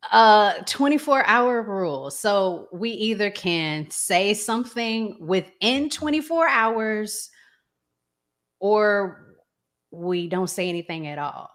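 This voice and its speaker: Southern american lady hosting, slow easy chatty talk with lots of fillers. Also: medium pitch. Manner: relaxed, chatty, with frequent fillers. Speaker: host. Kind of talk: casual chat.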